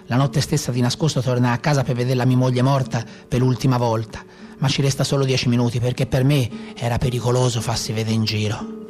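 A man with a Tuscan accent playing a rough peasant brigand of the Maremma in a theatrical storytelling monologue. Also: medium pitch, level tone, fast, natural voice. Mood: sorrowful. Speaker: stage actor. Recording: live guitar music behind.

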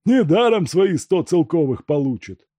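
A man's voice: on the high side, very animated, moderate pace, dark tone, dry recording, clean studio sound, pitched above the performer's own voice. A man doing a greedy, gleeful male thief. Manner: eager, whispery glee.

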